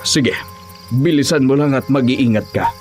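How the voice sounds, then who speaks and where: A man's voice: medium pitch, moderate pace, a little mellow, faint music behind, natural voice. A man playing a desperate, resolute husband who hunts monsters in an audio horror drama. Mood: cautious.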